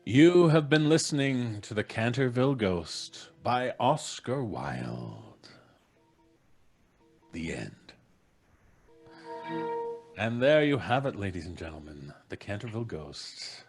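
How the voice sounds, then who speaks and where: A middle-aged man with a fairly low voice hosts a live show. He sounds jovial and a bit like a showman, moving between announcing and relaxed chat. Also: slow pace, long pauses, slightly rough, faint music behind. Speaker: podcast host and announcer. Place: home room on a video call.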